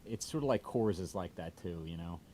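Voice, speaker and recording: A man with a fairly low voice talks casually on a livestream. He rambles and swears freely, with a little noise in the background of the recording. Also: animated, brisk, warm tone.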